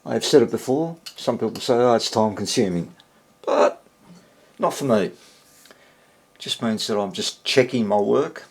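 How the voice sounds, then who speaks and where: A man's voice: mid-range voice, lively intonation, unhurried, pauses often, husky. An older man giving casual, unscripted commentary at his workbench, rambling and thinking aloud. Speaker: hobbyist radio repairer and presenter. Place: small indoor home workshop.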